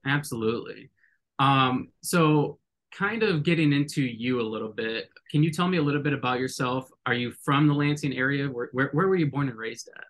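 A man's voice: medium pitch, brisk, fairly bright tone. A man hosting a podcast interview, friendly and enthusiastic, often repeating words as he goes. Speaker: podcast host. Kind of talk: casual interview.

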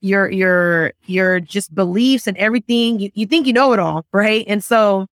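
A young woman guest on a podcast tells her story earnestly and picks up energy when she quotes people. Her voice is smooth and clear at a middle pitch. The recording is dry and close. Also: normal speed, no room sound. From San Francisco, United States. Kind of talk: casual podcast conversation.

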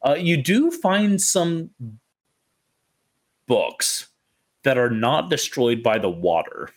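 A man runs a tabletop game in a casual, improvised style. His pitch moves around a lot while his pace stays slow. He feels his way through the narration, stopping and correcting himself. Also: very animated. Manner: halting, self-correcting delivery. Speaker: game master.

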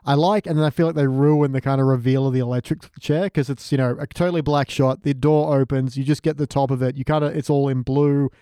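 A man's voice: mid-range voice, fairly even intonation, fast talker, hardly any pauses, warm tone, clear, resonant tone, no echo, clean studio sound. A man appearing as a podcast guest, chatting casually through a review in a wry, self-deprecating way.

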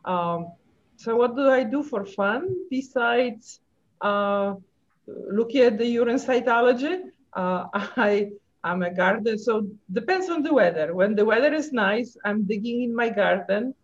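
A woman's voice: a little high, expressive, measured pace. A middle-aged woman with a Polish accent, a native Polish speaker, chatting cheerfully as a podcast guest and laughing between phrases. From Poland. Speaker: guest, pathologist. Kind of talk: casual chat. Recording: dull lo-fi audio.

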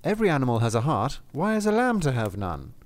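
Solemn elderly saint, read by a man; measured, stern, lively pitch. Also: lightly acted.

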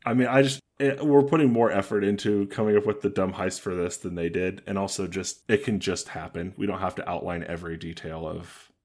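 A man co-hosting a podcast in casual comedic chat, dry and joking, with a deep voice. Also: fairly even intonation, brisk, hardly any pauses, a little mellow. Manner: dry, joking, riffs on bad movies. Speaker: podcast co-host.

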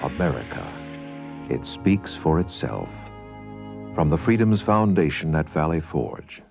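A man's voice: a bass voice, sing-song, unhurried, creaky, music in the background. A man reading a scripted radio announcement.

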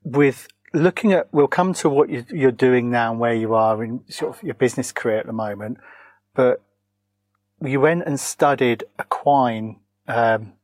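An interviewer with a mid-pitched voice asks long, halting questions, stopping and restarting often. The sound is dry and close, with no room around it. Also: no echo. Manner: halting, rambling questions, many fillers. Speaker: interviewer, podcast host.

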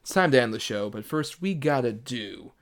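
A man's voice: mid-range voice, expressive, normal speed. A man co-hosting a casual movie podcast with playful, jokey banter. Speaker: podcast co-host.

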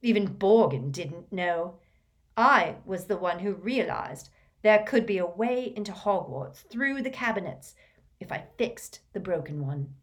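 A woman's voice: fairly high pitch, huge pitch swings, measured pace, pitched above the performer's own voice, exaggerated voice. A woman playing a defiant, snarling teenage boy wizard. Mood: boastful. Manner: forceful, vehement, snarling.

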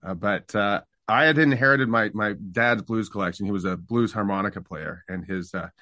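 A middle-aged man from Canada talks reflectively as an interview guest, pausing on fillers and restarting his sentences. His voice sits a little low but has a bright, forward edge. The recording is dry and close. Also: no echo. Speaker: guest singer-songwriter. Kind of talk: relaxed interview conversation.

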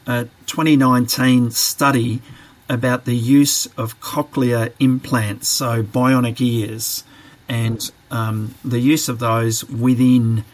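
A man answering interview questions on a podcast, reflective and careful, often pausing with fillers as he works through his ideas. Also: medium pitch. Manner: reflective, hesitant, many fillers and restarts. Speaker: podcast guest, training manager.

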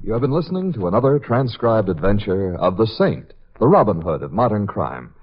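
A man's voice: on the low side, sing-song, a little fast. A man working as a radio announcer, reading scripted copy in 1950s broadcast style. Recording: dull old 1950s radio recording. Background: music.

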